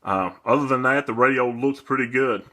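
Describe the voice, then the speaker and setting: A man's voice: medium pitch, brisk, heavy background noise. A man giving a casual, plain-spoken and somewhat hesitant demonstration of a product. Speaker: presenter demonstrating a radio for sale.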